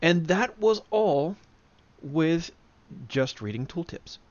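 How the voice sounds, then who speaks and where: A man with a fairly high voice walks listeners through a demonstration in a casual, halting manner, often clearing his throat. The recording is dry and somewhat dull, with little room sound. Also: animated, no echo. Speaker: demonstrator, flight simulator enthusiast. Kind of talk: casual spoken tutorial demonstration.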